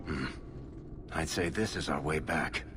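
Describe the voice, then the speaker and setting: An actor playing a wry, easygoing roguish dwarf in a video game, with a dry, casual, joking delivery. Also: male voice, a bass voice, measured pace, music in the background. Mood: dry humor. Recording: noisy game ambience.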